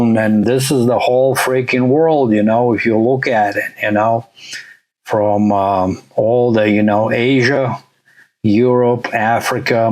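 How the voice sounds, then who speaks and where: A man's voice: mid-range voice, a little mellow, poor audio. Middle-aged man from Latvia, channel host, non-native English speaker, casual rambling talk, lots of fillers. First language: not English; English is his third language. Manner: rambling, many "uh" and "you know" fillers. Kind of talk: casual vlog narration over a shared screen.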